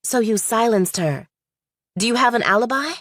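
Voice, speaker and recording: A woman's voice: on the high side, animated, normal speed, a bit bright, no room sound, clean studio sound. A woman voicing a skeptical young law student in an audiobook, clipped, probing and lawyerly. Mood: probing, accusing. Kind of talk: audiobook character dialogue.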